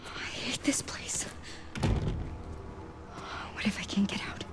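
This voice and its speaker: A woman's voice: slow, natural voice. Woman acting a whispering, timid young woman. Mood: scared. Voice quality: breathy, whispery. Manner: hushed muttering to herself. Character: frightened, timid young woman alone in a creepy place. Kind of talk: survival horror game acting.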